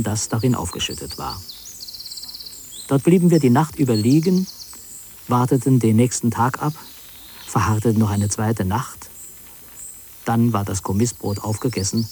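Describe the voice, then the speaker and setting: A man narrating a scripted literary memoir in a measured, sober, reflective manner. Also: medium pitch, warm tone, slight background noise.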